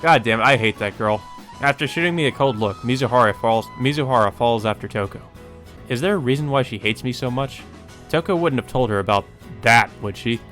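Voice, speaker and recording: A man's voice: medium pitch, fairly quick, a bit bright, soft background music. A man hosting a let's play, reading a visual novel aloud and adding casual asides.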